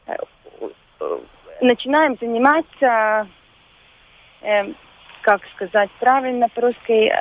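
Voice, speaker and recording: Woman, Latvian accent, non-native speaker, halting with many fillers, muffled lo-fi phone line, very dry sound. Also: fairly high pitch, dry recording. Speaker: volunteer guest. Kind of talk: phone-in radio interview. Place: phone call into a radio studio.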